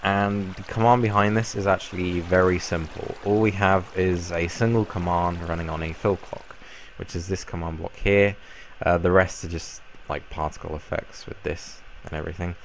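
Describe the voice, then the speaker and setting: A young man with a croaky voice from a cold, casually explaining a game build in a rambling way. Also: deep voice, moderate pace, few pauses, slight background noise. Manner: relaxed, rambling explanation with fillers. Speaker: Minecraft YouTuber. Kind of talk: casual gameplay tutorial.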